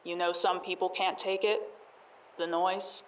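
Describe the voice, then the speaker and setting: Slow, bitter low-voiced woman out for revenge acted. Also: flat delivery, recorded from a distance, lightly acted, poor audio. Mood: haunted, bitter. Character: bitter vengeful wasteland woman, tormented by endless advertising. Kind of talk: audio drama acting.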